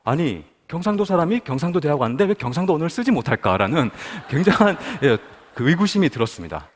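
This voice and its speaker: Male speaker giving a testimony, lively pitch, long hall echo. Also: fast talker. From Busan, South Korea. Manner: storytelling, asks the audience questions. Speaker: guest speaker at a prayer meeting. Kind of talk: personal testimony talk.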